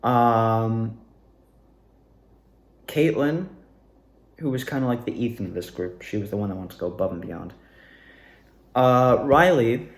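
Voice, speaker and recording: A man's voice: lowish voice, vocal fry. Echoey young man, rambling casually. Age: young adult. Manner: rambling, frequently backtracking and self-correcting. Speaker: drama student. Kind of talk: casual reflective monologue in self-interview.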